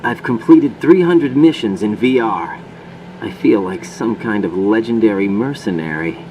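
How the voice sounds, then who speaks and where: Deep, distant-sounding covert field agent. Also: male voice, expressive, a little slow, mellow. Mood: confident. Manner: terse, matter-of-fact. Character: cool, confident male special forces infiltrator. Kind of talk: radio report, video game acting. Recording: distant, heavy humming ambient noise.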